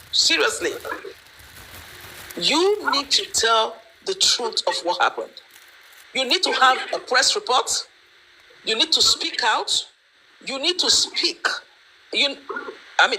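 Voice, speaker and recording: A woman's voice: on the high side, pushed and bright, a little hiss or hum, rough recording. A woman giving her opinion in an online discussion, emphatic and lecturing, with many fillers. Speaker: panelist.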